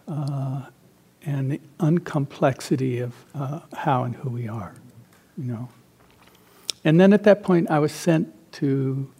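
An older man who grew up in Central America speaks as a meditation teacher, his voice gravelly with fry and a husky edge. He tells personal stories in a halting, reflective way, his mellow tone often trailing off to near silence. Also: on the high side. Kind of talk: informal dharma talk with personal stories.

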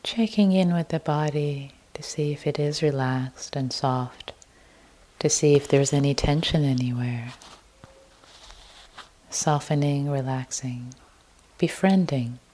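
A woman leading a guided meditation as a teacher, speaking gently in short, unhurried phrases. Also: sits low.

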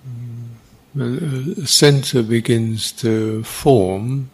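A man guiding a meditation as a teacher, speaking in an unhurried, halting way with long pauses. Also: medium pitch, steady tone, darkish tone, husky. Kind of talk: guided meditation, unscripted.

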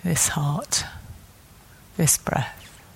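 A woman meditation teacher leading a guided meditation calmly and gently, in short phrases with long pauses between them. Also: low voice, gravelly, whispered.

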